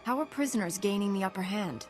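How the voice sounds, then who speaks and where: An actress playing a calm, resolute heroine knight in a video game, composed and measured. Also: female voice, medium pitch, soft background music, natural voice. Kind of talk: video game character acting.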